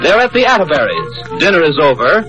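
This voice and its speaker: A man's voice: fairly high pitch, wildly swooping pitch, fairly quick. A man with an American accent announcing a 1950s radio show in scripted, old-time style. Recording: dull old radio recording, music behind.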